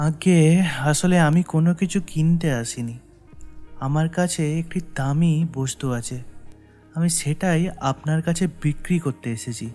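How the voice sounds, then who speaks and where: A man's voice: a little high, a little slow, warm tone, close to an everyday voice. A man voicing a gullible, earnest village husband in a cartoon story, with a pleading manner. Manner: earnest, pleading, stammers when upset. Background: background music.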